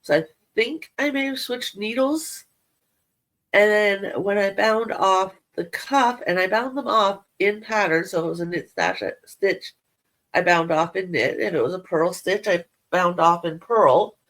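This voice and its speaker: A woman's voice: medium pitch, unhurried, fairly bright tone. A middle-aged woman, nasal from a head cold, chatting casually and rambling a little as she shows her knitting to viewers. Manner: chatty, rambling, self-deprecating. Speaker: yarn crafting vlogger. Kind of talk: casual show-and-tell chat.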